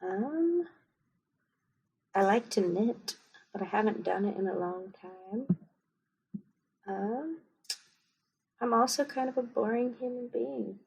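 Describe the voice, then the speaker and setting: A woman from Kansas co-hosting a book podcast, chatting casually with frequent pauses and ums. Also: a little high, gravelly, husky, poor audio. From Kansas, USA. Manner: relaxed, unhurried with frequent ums. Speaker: podcast co-host. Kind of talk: casual chat.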